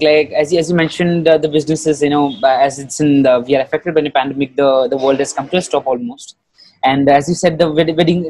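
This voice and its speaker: A young man hosting a casual interview over a headset, halting and often repeating words. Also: fairly high pitch, darkish tone, dry recording, rough recording. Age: young adult. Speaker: interviewer, host. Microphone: headset on video call.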